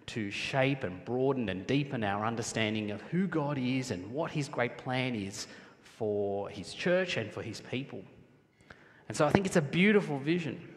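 The man you would hear from the pulpit on a Sunday, a pastor from Sri Lanka rising and dipping expressively as his words ring around a big echoing church. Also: mid-range voice. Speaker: pastor preaching to his congregation. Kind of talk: sermon. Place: large church hall, speaking to a congregation. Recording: echoey hall with long reverb.

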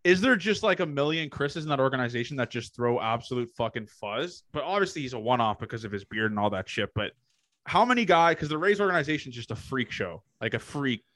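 A young man with a light Canadian accent hosts a casual podcast, talking in loose, excitable bursts with plenty of swearing. His voice sits at a middle pitch and sounds dry and close to the mic. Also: fast, no room sound. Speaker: podcast host.

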